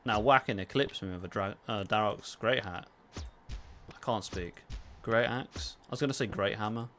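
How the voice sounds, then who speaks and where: A man's voice: fairly low pitch, normal speed, fairly bright tone, soft background music. A man with a southern accent chatting casually and self-deprecatingly as he livestreams a game. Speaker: game streamer and YouTuber. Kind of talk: casual livestream chat while gaming.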